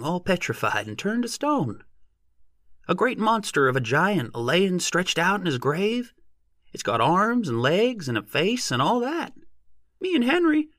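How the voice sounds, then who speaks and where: A man voicing an excited, boastful rustic odd-job man in an audiobook, with a squealing, breaking voice. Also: high-pitched, no echo, clean studio sound, pitched above the performer's own voice. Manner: folksy, exclaiming. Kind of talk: audiobook character voice.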